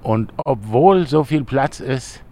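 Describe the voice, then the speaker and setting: A man leading the interview as a local guide, explaining things in an easygoing, unhurried way outdoors in a park. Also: mid-range voice, animated, dark tone. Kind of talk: casual outdoor conversation.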